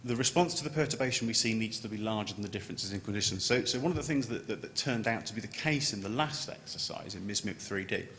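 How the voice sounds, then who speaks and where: A man giving an unscripted technical conference presentation as a scientist, halting and often repeating words as he starts a phrase. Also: a bit bright. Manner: halting, with stammered word repetitions. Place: large conference room. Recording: echoey hall, noticeable background noise, low rumble.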